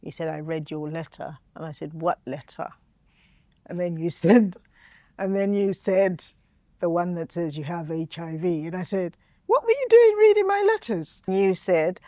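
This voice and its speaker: Brief: woman, lowish voice, relaxed storyteller, dry close sound. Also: dry recording. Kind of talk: intimate interview conversation.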